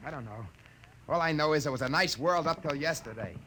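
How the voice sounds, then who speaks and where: A man's voice: a little high, fairly quick, sharp tone. An actor playing a harried, hapless married repairman with an American accent in a 1950s radio drama. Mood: wry, determined. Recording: noisy vintage 1950s radio recording.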